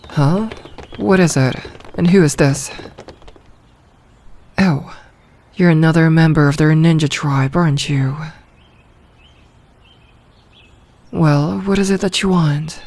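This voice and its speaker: A woman playing a possessive, commanding female werewolf pack leader in an ASMR roleplay, speaking slowly and with authority. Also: deep voice, a little mellow. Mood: composed, pleased.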